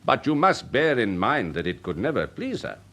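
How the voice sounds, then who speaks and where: An actor playing a worldly older English gentleman, a pompous and smoothly persuasive uncle in a period radio drama. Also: mid-range voice, sing-song, normal speed, slight background noise. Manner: pompous, urbane, rhetorical, insistent.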